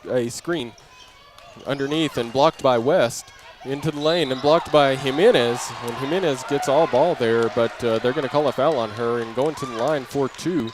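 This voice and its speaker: Man, live basketball play-by-play, clipped running description, medium pitch, voice lifting on action, clearly noisy gym background. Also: moderate pace. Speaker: play-by-play announcer. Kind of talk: live basketball radio commentary. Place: indoor basketball gym during game. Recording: noisy gym ambience, bouncing balls.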